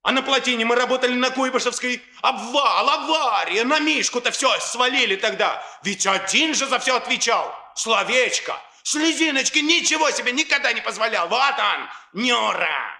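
A man's voice: very high-pitched, expressive, moderate pace, hardly any pauses, sharp tone, full character voice. A man playing an impassioned, accusing young orphan in a 1960s Soviet radio play, heated and declamatory, in an echoing studio. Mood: agitated, defensive.

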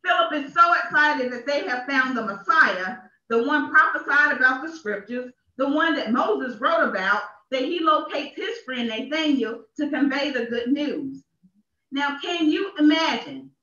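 A woman preacher speaks in a high voice, giving an emphatic sermon with deliberate repetition. She is heard at a distance in a small, echoey church. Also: lively intonation, bright, forceful tone. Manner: emphatic, repeats phrases for effect. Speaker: minister. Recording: echoey, dull church livestream from off.

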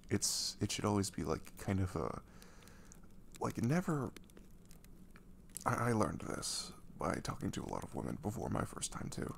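Casting: male actor as tender, soothing young boyfriend for ASMR audio, gravelly low-end voice, faint background noise. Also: sing-song, normal speed, pauses often. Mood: amused, reassuring. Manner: hesitant, gentle, soothing, with soft chuckles. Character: caring, reassuring young boyfriend comforting his nervous girlfriend.

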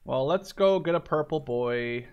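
A man's voice: fairly high pitch, lively intonation, measured pace, smooth, lo-fi sound. A man in his twenties giving casual, rambling gameplay commentary, often breaking off mid-sentence and swearing. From Canada or the Netherlands. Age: 20s. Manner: rambling, self-interrupting, sweary. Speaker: gaming video creator.

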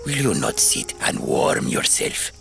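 An actor playing a wily, bitter old one-eyed schemer in a full-cast audio drama, speaking slowly and cryptically with a gravelly, whispery voice. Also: male voice, bright, forceful tone, faint music behind. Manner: slow, cryptic, brooding menace.

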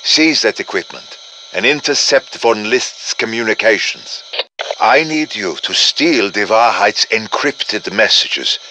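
An actor playing a grave, commanding professor of the occult in a video game, speaking in an urgent, formal, theatrical manner. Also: male voice, fairly low pitch, expressive, slow pace, sharp tone, slight background noise, heavily acted, low-quality recording. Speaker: mission-giving game character. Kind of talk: dramatic video game voice acting.

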